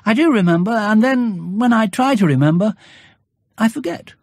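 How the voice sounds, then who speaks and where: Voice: medium pitch, animated, normal speed, dry recording, studio quality, pitched above the performer's own voice. A narrator voicing a curious, earnest little boy in a children's storybook, in an innocent, questioning manner. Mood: innocent, inquiring. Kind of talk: children's storybook character acting.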